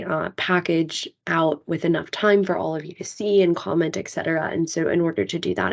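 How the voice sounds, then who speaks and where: A woman with a hoarse voice, still getting over a cold, briefs a public meeting. She speaks in a somewhat low register and walks through dates and steps in a polite, orderly way. The audio is dull and lo-fi. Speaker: county legislative liaison.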